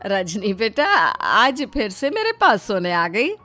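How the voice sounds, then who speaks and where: A woman's voice: mid-range voice, sing-song, slow, bright, forceful tone, heavily acted. A woman voicing a middle-aged mother-in-law in a family story, caring and hesitant yet slyly demanding. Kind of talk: dramatized Hindi family storybook.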